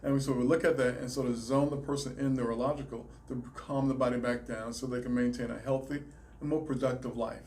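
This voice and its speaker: A man with a Southern US accent, a chiropractor, answering interview questions in a measured, explanatory way. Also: mid-range voice, fairly even intonation, darkish tone, a little hiss or hum. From Alabama, raised in South Carolina. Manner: measured, explanatory, some restarts. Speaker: chiropractor, guest.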